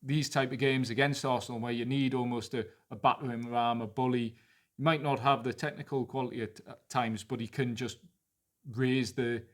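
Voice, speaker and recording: A man's voice: mid-range voice, steady tone, moderate pace. A man with a Geordie accent co-hosts a football podcast, with a mid-range voice. He talks through team selection in a fluent, analytical way and often restarts to rephrase his points. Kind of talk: casual football analysis chat.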